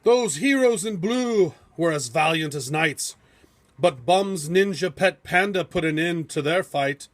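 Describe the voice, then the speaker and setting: A man reading a rhyming storybook aloud in a theatrical, measured, epic storytelling manner. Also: high voice. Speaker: storybook reader. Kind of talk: dramatic rhyming storybook narration.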